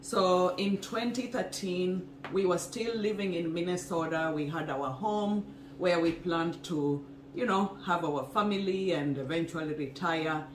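A woman from Kenya talks at a steady, earnest pace about her own life. She sits in an echoey home kitchen, with a faint hiss under the voice. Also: lowish voice, unhurried. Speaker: co-host, wellness couple. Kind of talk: casual personal story to camera. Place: home kitchen, indoors, small room. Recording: echoey room, faint noise.

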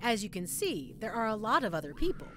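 A woman's voice: medium pitch, big pitch swings, fast talker, a bit bright, soft background music. A woman playing a sadistic, gleeful mad scientist in an audio roleplay, teasing her captive listener with sweet condescension. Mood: gleeful, proud.

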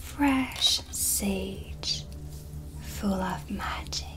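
Woman playing a whispering, slow dark witch. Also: mid-range voice. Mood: calm, mysterious. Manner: whispery, slow, deliberate, measured menace. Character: dark witch, eerie, commanding, quietly menacing woman. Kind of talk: soft-spoken ASMR roleplay. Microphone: close microphone.

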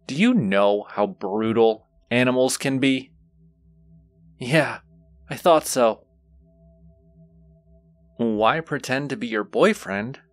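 A man playing a teasing, flirtatious, gleefully wicked demon suitor in an intimate audio roleplay, drawling seductively to the listener. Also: medium pitch. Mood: sly, bargaining, then flirtatious, playful. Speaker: voice actor.

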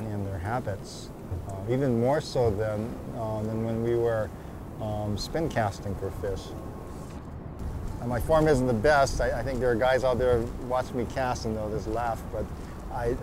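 A middle-aged man, a fly fisherman, talking in an unhurried, thoughtful way with restarts, on a lapel mic outdoors in shallow water. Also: mid-range voice. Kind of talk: casual talk about fishing. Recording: outdoor background noise.

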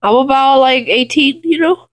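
A young man with a high, boyish voice making a giggly prank phone call. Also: normal speed, a bit bright. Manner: giggly, mock-casual chatter. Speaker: prank caller.

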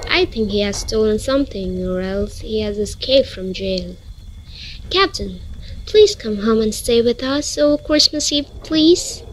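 Girl acting kind modest young daughter in a radio play, pleading, voice a bit high. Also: lively intonation, measured pace, a little hiss or hum, rough recording. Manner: earnest, pleading. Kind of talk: student radio drama acting.

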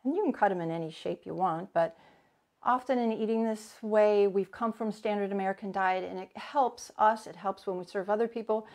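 A woman's voice: medium pitch, a little mellow. A woman in her forties teaching a live cooking demo from her home kitchen, chatting and greeting viewers by name as she works. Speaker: cooking instructor.

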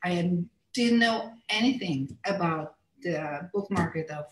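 A woman's voice: fairly low pitch, slow pace. A middle-aged woman from Israel with an Israeli accent, a native Hebrew speaker, answering interview questions thoughtfully with many fillers and restarts over a video call from a room at home. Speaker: author and illustrator, interview guest. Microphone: video call, computer mic. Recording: roomy video call audio.

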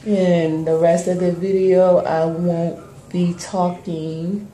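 A young woman with a cold and a hoarse voice, casually talking through her hair routine with many pauses, into a close-up phone camera in a small bathroom. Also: on the low side. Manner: relaxed, hesitant, many ums while working on her hair. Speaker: hair vlogger. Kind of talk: casual hair tutorial talk. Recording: echoey bathroom with background noise.

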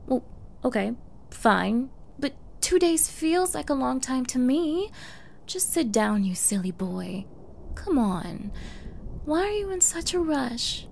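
A woman's voice: high-pitched, very animated, takes it slowly, exaggerated voice. A woman playing a flustered, clingy young yandere in an ASMR girlfriend roleplay, with a coy, stammering manner and feigned ditzy innocence. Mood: anxious, pleading. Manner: stammering, coy, eager, breathy asides. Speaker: roleplay voice performer. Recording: faint background noise.

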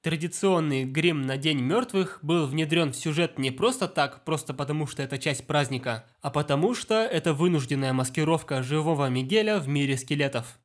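A man's voice: a little high, brisk, hardly any pauses, soft background music. Man narrating a film review.

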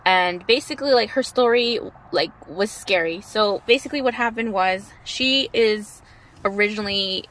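A woman's voice: medium pitch, moderate pace, fairly bright tone, poor audio. A young woman in her twenties chatting in a car vlog, telling a story in a loose, rambling way with lots of fillers. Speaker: vlogger. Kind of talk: casual chat while riding in a car. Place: inside a convertible car outdoors. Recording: faint car and road noise.

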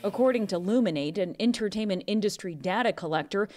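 A woman's voice: medium pitch, quick pace, darkish tone, slight background noise. A woman with an American accent reading scripted narration as a news correspondent. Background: background music.

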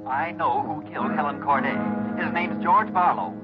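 A man's voice: on the high side, normal speed, natural voice. A man playing an insistent anonymous tipster. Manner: urgent, insistent. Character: anonymous male tipster on the phone. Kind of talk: 1940s radio drama acting. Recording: dull old radio broadcast with music under.

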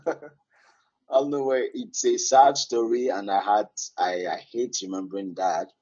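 Man, foreign accent, halting, lots of ums, low husky voice, muffled lo-fi call. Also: steady tone, mellow. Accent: foreign English. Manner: hesitant, many fillers, halting. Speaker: caller. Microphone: online voice call.

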